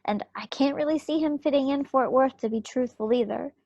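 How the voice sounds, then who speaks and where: A woman's voice: high-pitched, brisk, a little mellow, dry recording. Woman playing a plucky 1940s war wife, muffled call. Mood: defiant. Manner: spirited, folksy, determined. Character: plucky stubborn young war wife, railroad worker, 1940s. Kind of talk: play table reading. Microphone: video call. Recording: muffled video-call audio.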